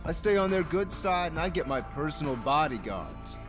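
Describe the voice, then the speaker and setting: An actor playing a cocky young male high school gang leader in an English game dub, mocking, swaggering and slangy. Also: animated, normal speed, natural voice. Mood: smug, taunting. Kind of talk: English video game dub cutscene acting. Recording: music under the voice.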